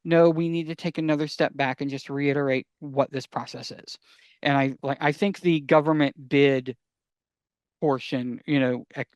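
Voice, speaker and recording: A man's voice: medium pitch, fairly even intonation, normal speed, clean tone, no echo, muffled recording. A man co-hosting a casual podcast, explaining things emphatically and often posing questions that he answers himself. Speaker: podcast co-host.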